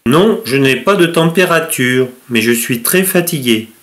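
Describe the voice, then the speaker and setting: A man's voice: mid-range voice, animated, a little slow, fairly bright tone. A man playing a tired male patient with a cold in a scripted dialogue for learners. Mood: weary.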